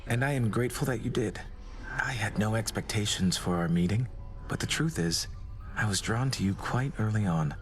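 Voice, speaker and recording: An actor playing a courtly, melancholy male god of doom in a video game, speaking formally and with gentle devotion. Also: on the low side, moderate pace, soft background music, natural voice. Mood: tender, devoted. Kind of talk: scripted video game romance dialogue.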